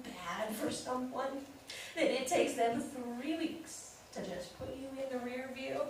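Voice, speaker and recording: A woman's voice: sits high, slow pace. A woman acting a wounded, defensive, newly separated sister in a staged play reading, candid and emotional. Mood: hurt, bitter. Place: live staged reading in an echoey room. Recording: very echoey room, distant mic, faint noise.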